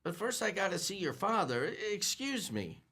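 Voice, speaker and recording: A man's voice: sits high, big pitch swings, normal speed, heavy background noise. A young man playing a bashful, timid store clerk in a radio play, stammering and repeating his words. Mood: nervous. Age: young adult. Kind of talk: 1940s radio acting.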